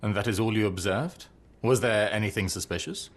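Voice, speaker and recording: An actor playing an aloof, authoritative Victorian gentleman in a video game, British, with clipped, formal, commanding speech. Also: on the low side, fairly quick, natural voice. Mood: stern, secretive. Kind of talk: video game cutscene acting. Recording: clear background ambient noise.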